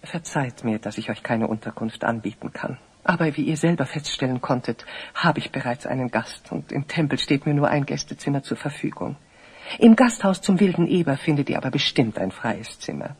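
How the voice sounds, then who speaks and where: An actor playing a stern, pompous village priest with a haughty, formal delivery in a children's audio drama. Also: male voice, mid-range voice, lively intonation, a little fast, breathy edge. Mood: formally apologetic.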